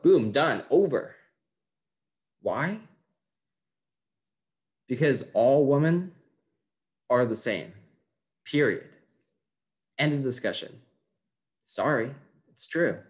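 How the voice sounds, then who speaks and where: A man playing a brash, cocky seminar guru in a monologue, preaching in emphatic, punchy short phrases. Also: medium pitch. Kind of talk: motivational seminar monologue, stage acting.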